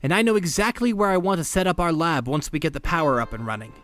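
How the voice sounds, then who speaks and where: A man's voice: on the high side, quick pace, a bit bright, faint music behind, natural voice. A man voicing a brainy, polite teenage turtle inventor in an audio drama, chatty, precise and earnest. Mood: focused, upbeat. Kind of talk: conversational audio drama acting.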